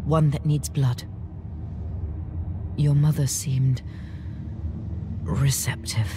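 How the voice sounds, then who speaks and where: An actress playing a solemn, guarded seer in a dark fantasy game, grave, measured and understated. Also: female voice, very deep voice, steady tone, noisy background, exaggerated voice.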